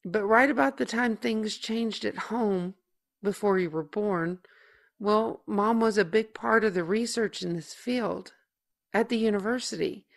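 A woman playing a tender, protective older sister in an audio drama, speaking with halting emotion. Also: mid-range voice, gravelly. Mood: tender, nervous.

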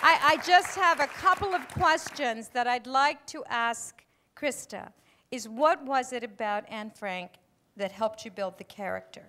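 An American woman in her sixties moderates from a stage with a fairly high voice. She speaks in an emphatic, deliberate way to a live audience, with a little crowd sound around her. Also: pushed and bright, a little hiss or hum. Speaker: event host and moderator. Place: auditorium stage before an audience. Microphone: handheld stage microphone.

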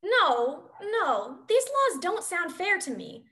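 Distressed objector, played by a woman, high, slow, pleading, stage reading. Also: very animated, sharp tone, exaggerated voice. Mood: distressed protest. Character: distressed village woman objecting to unfair rule. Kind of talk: dramatic play reading.

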